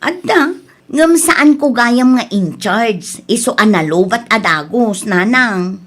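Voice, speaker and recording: A woman's voice: fairly high pitch, lively intonation, slow pace. A woman playing a worried grown daughter in a comedy radio drama. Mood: worried, explaining.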